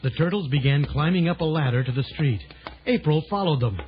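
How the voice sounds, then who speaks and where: Male storyteller, fairly high voice. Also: lively intonation, fairly quick. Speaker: narrator. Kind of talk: dramatic scripted narration for children's audio story. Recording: dull lo-fi 1980s tape, music behind.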